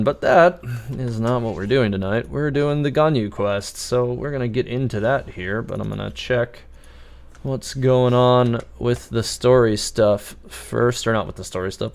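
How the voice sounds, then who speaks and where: A man's voice: mid-range voice, vocal fry, clear, resonant tone. A man giving casual live stream commentary on a game, chatty and rambling.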